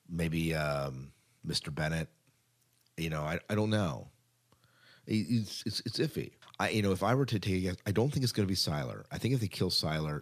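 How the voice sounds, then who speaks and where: A man with a very deep, low-pitched voice co-hosts a podcast. He chats casually about television news. He leans on key points by repeating words for emphasis. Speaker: podcast co-host.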